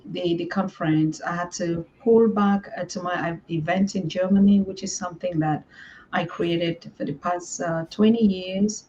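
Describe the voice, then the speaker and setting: A woman's voice: lowish voice, darkish tone, muffled recording, a little hiss or hum. A woman from southern Cameroon, a guest on an online interview, who speaks thoughtfully with many ums and uhs.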